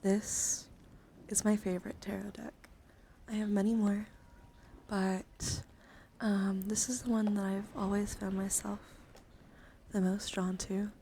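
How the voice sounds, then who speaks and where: A woman's voice: mid-range voice, little pitch movement, takes it slowly, pauses often. Whispering woman streamer, noisy background. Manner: alternates soft whispering with hushed talk. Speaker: livestream host. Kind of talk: whispered ASMR chat. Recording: clear background noise on livestream.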